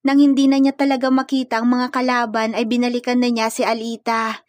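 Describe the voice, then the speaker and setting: A woman's voice: a little high, little pitch movement, brisk, no room sound. A woman storyteller reading scripted romance fiction in a dramatic narrative style.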